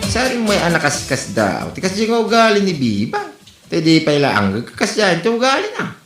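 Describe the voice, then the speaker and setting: Guy doing scolding husband, ilocano accent, croaky high voice, lively, comedy drama. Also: a little fast, music in the background, exaggerated voice. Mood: reproving. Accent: Ilocano Filipino. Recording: roomy, echoey room sound.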